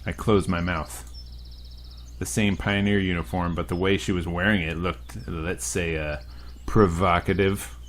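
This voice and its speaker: A man's voice: low voice, fairly even intonation, measured pace, slight background noise. A young man hosting a let's play, reading a visual novel aloud with crude joking asides.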